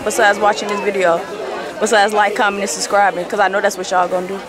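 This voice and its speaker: A woman's voice: mid-range voice, level tone, fast talker. A woman chatting casually to her viewers as a travel vlogger, joking and talking straight to the camera outdoors. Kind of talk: casual travel vlog chat. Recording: street crowd and music behind.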